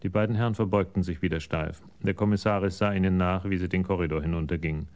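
Male narrator for radio crime drama: deep register, measured, sober scripted storytelling. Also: steady tone, darkish tone.

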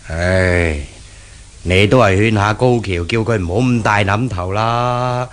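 A man's voice: lowish voice, a little slow, lightly acted. An actor playing a cautious, nagging older shopkeeper father who speaks hesitantly in a 1970s Cantonese radio drama, with a Hong Kong accent. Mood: fretful, nagging.

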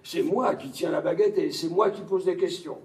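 A man's voice: a little high, normal speed, darkish tone, close to an everyday voice. A man acting on stage as a brash, domineering American army major in French, blunt, sarcastic and coarse in his speech. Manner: blunt, sarcastic, colloquial, swearing. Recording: distant echoey stage pickup.

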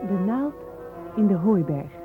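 A woman's voice: mid-range voice, big pitch swings, measured pace, loud music behind the voice. A woman announcer presenting the title and credits of a radio play. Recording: dull old radio recording.

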